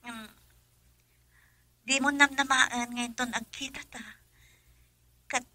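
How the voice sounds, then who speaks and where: A woman acting a troubled, secretive young woman in a radio drama, breathy and hesitant. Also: high-pitched, steady tone, slow pace, sharp tone, dry recording, full character voice. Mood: evasive, cold.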